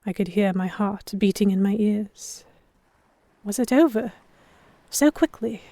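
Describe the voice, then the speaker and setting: A woman giving expressive first-person narration in the style of a dramatic audiobook. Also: medium pitch, unhurried, no echo.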